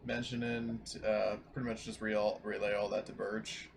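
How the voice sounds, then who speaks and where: A man's voice: on the low side, flat delivery, normal speed, vocal fry, a little hiss or hum. A man talking casually as a player in a tabletop roleplaying game.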